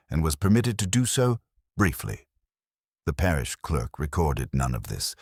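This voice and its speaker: A man narrating a detective story in a measured, dry, formal storytelling manner. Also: sits low, no room sound. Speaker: audiobook narrator.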